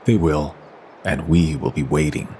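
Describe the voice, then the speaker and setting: An actor playing a cold, smug villain who commands henchmen in a measured, threatening way. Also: male voice, extremely low pitch, noisy background. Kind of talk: audio drama acting.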